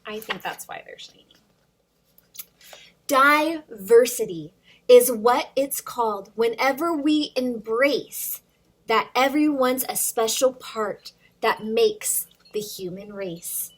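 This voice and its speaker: A woman's voice: fairly high pitch, slow pace, slightly rough, rough recording. A woman hosting storytime for young children, reading aloud expressively with sing-song emphasis and addressing questions to young listeners.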